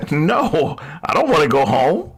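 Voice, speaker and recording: Man playing cocky young radio-drama crook, gravelly, animated pitch, flippant, devil-may-care. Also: takes it slowly, exaggerated voice. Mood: reckless, excited. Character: reckless doomed young man, cocky thrill-seeking diamond thief. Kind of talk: vintage radio drama acting.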